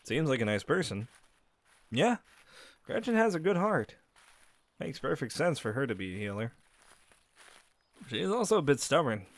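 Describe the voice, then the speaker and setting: A man's voice: medium pitch, big pitch swings, moderate pace, long pauses. A man playing an easygoing young werewolf. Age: young adult. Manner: easygoing, laughs often, answers unheard questions. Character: friendly young male werewolf guide. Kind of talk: audio roleplay to a silent listener. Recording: dry close recording.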